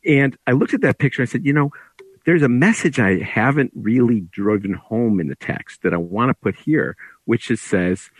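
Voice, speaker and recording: A man speaking as an author in a conversational interview, explaining things through anecdotes in a husky voice. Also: medium pitch, animated, moderate pace, no room sound. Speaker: author discussing his book.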